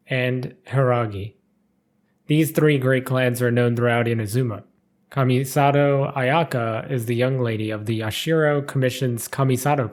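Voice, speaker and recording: Man reading a story in measured narration. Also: mid-range voice. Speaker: narrator reading game character lore.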